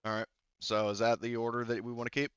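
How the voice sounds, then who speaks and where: A man running a tabletop game as game master, relaxed and hesitant, with many fillers. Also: medium pitch, fast, smooth, dry recording, highs cut off.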